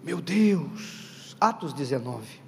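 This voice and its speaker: High-voiced preaching man in a hall. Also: lively intonation, takes it slowly, vocal fry, slight background noise. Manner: emphatic, calls out to the congregation; builds to loud stressed bursts. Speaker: pastor. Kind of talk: Pentecostal sermon. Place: church hall. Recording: reverberant hall with faint background music.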